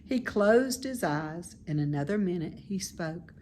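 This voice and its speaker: A woman reading a children's story aloud in expressive narration. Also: fairly low pitch, measured pace, a little hiss or hum. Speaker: storybook reader.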